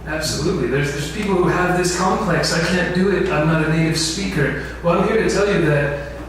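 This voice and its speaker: A man's voice: on the high side, fairly quick. A man teaching a lecture to a class, often putting questions to the room. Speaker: instructor. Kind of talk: info session lecture. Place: large echoey lecture room. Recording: very echoey room, distant mic, faint noise.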